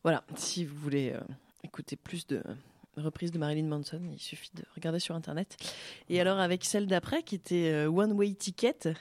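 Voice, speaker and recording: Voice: mid-range voice, steady tone, brisk, a little mellow, no echo. A presenter talking casually and unscripted between music tracks, in a hesitant, rambling, informal way.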